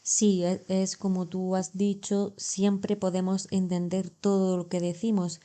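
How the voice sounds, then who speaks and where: A woman, co-hosting a casual language podcast and giving calm everyday examples. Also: mid-range voice, measured pace. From Andalusia, Spain. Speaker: podcast co-host. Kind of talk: casual educational chat.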